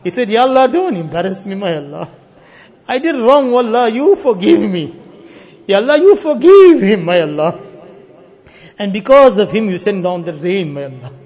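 High-pitched husky man in pleading prayer. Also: big pitch swings, normal speed. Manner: pleading, emotional, rising from hushed to loud cries. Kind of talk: impassioned supplication with repeated refrains. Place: live religious gathering. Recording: muffled, dull lo-fi live recording.

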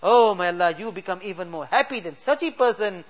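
A man's voice: high voice, animated, fairly quick. A man leading a fervent late-night supplication as an imam, pleading with constant repeated refrains. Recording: dull lo-fi recording.

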